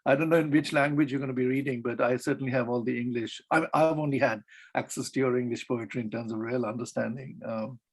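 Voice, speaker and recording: Male host, steady mid pitch, gravelly, formal introductions over a call. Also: fast talker. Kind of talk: introducing poets.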